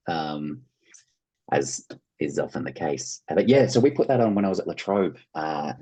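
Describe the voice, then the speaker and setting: An Australian man who works as an actor, telling stories casually as an interview guest in an animated way. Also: a bass voice, husky, poor audio. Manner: animated anecdote-telling, acting out quoted reactions.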